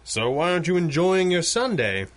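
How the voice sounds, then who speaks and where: A wealthy, unflappable crime-fighting boss in a radio adventure serial. His voice is fairly high for a man and very animated in pitch, with dry, urbane, formal phrasing. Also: moderate pace. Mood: distracted, patient. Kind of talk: old-time radio adventure acting.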